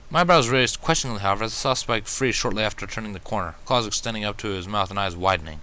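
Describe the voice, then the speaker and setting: A man's voice: fairly low pitch, fast talker, pushed and bright. A man narrating a first-person fan fiction story, reading expressively as an amateur.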